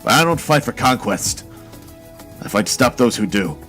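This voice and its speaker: A man's voice: mid-range voice, animated, moderate pace, fairly bright tone, soft background music, close to an everyday voice. Man acting an earnest, resolute soldier hero. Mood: guarded, earnest. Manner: earnest, determined. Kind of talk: cinematic audio drama acting.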